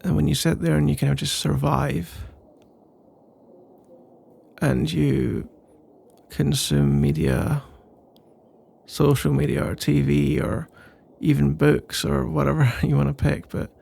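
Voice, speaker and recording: A man playing a nervous, pensive roommate in an intimate ASMR roleplay, speaking in a soft, husky, halting ramble. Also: fairly high pitch, very animated, full character voice. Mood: pensive, weary. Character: nervous, pensive male roommate working up to a confession.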